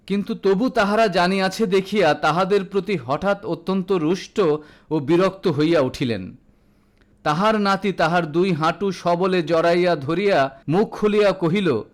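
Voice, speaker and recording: A man reading a literary audio story as narrator, in a formal, dramatic storytelling manner. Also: high-pitched.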